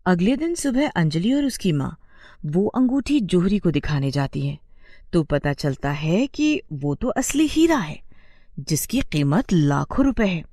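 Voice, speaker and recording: A woman narrates a scripted bedtime tale as storyteller. The voice sits a little low and mellow, moving through lively rises and falls, recorded dry and close. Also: unhurried, no echo.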